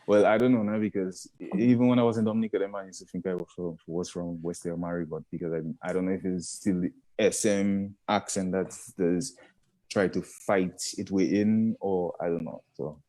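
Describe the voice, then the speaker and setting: A man's voice: sits low, fairly even intonation, normal speed, mellow, low-quality recording. A young man with a Caribbean accent, a guest comedian chatting casually and rambling as he searches for words. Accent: Caribbean English. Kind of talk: casual chat.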